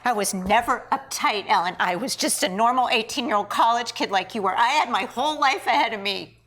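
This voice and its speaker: A woman's voice: fairly high pitch, fairly quick, a bit bright. Woman acting a bitter, wounded, accusing woman. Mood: hurt, defensive. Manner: terse, accusing. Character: bitter, wounded, unforgiving woman named Carol. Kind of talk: read-through of a stage drama script.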